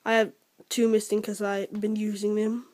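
A teenage boy with an American accent talking casually and a little haltingly as he shows things to a handheld camera at home. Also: high voice, monotone, darkish tone. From Georgia, United States. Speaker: fishing vlogger. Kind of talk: casual unscripted product showcase. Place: room at home. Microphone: handheld camera at arm's length.

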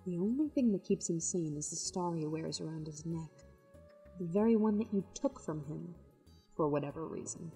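A woman's voice: on the low side, expressive, moderate pace, creaky, mellow. A woman playing a proud, grave demon warrior in an audio drama, explaining things solemnly. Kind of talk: dramatized webcomic audio play. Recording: long reverb with music behind.

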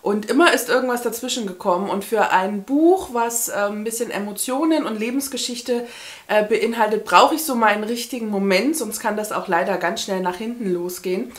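A woman in her thirties with a medium-pitched voice talks as a book vlogger. Her delivery is chatty and informal, like casual talk straight to the camera. She is recorded indoors in a small room. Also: fairly quick. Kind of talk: casual chat to camera about books.

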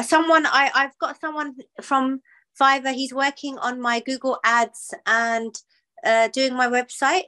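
A woman's voice: a little high, pushed and bright. A woman in her thirties from Pakistan who runs a small business, talking casually on a video call from her living room, with lots of "you know" and "um". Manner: chatty, with frequent "you know" and "um". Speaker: small business owner, client.

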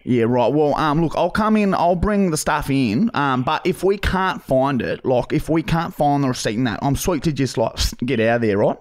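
An aggrieved Australian bogan customer, acted by a man on a prank call, voice a little high for a man. He is slow-witted and slangy, rambling and circling back over the same complaint. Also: expressive, natural voice. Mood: wheedling, aggrieved. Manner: repetitive, rambling, slangy, sweary. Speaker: podcast host making a prank call. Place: podcast studio. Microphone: close boom-arm podcast microphone.

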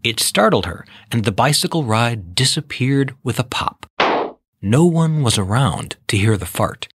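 A man reading scripted children's storybook narration in a measured, expressive way. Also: medium pitch, slightly rough, dry recording.

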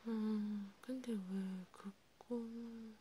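Whispering woman, mellow and hushed, over noise. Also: medium pitch, fairly even intonation. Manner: hushed, slow, halting, conversational. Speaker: ASMR creator playing a counselor. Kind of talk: whispered ASMR counseling roleplay. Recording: noticeable background hiss.

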